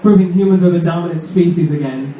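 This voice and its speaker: A young man with a high, lively voice rambles sarcastically through a stand-up set in a small pub. The crowd laughs loudly around him. The sound is tinny and echoey, picked up from far off. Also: quick pace. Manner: rambling, sarcastic, loaded with "like" fillers. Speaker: stand-up comedian. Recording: echoey lo-fi room recording from far off.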